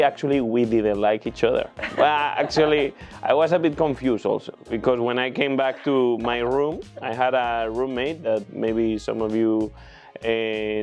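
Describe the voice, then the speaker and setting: A man's voice: mid-range voice, a little mellow. A young man from Spain, chatting casually in an interview and telling rambling stories with a chuckle. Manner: rambling storyteller, chuckles often. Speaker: interviewee, screenwriting student. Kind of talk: casual chat.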